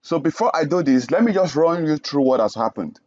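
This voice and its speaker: A man's voice: on the high side, lively intonation, a little fast, darkish tone, muffled recording. A young man from Nigeria teaching programming in a screen-recorded tutorial, explaining each step and checking in with "okay?". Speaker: programming instructor.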